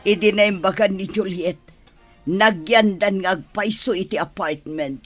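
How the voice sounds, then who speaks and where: A woman's voice: medium pitch, measured pace, soft background music, natural voice. A woman with an Ilocano accent playing a scheming, money-minded middle-aged mother in a radio drama. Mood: gossipy, grasping.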